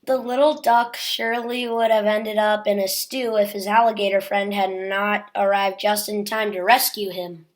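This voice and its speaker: A woman's voice: mid-range voice, flat delivery, moderate pace, hardly any pauses, low-quality recording. A woman reading a children's picture book aloud as a storyteller.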